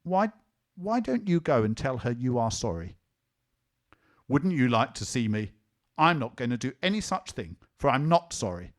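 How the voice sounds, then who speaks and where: A man's voice: medium pitch, sing-song, normal speed, lightly acted. A man voicing a stubborn, sulky little girl who speaks sharply and scornfully. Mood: scornful, defiant.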